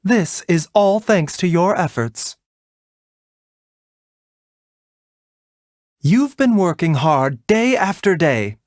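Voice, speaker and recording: A man voicing a gentle, refined video game doctor who is polite, soft-spoken, caring and a little wry. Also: high-pitched, very animated, no room sound, studio quality. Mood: warm, reassuring. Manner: measured, soft-spoken, polite, lightly teasing. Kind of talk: video game character voice lines.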